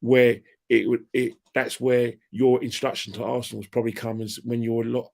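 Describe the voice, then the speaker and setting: A man's voice: medium pitch, little pitch movement, brisk, vocal fry, darkish tone. A man, ranting in a heated casual chat about football fandom and trading colourful insults. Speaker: fan channel guest.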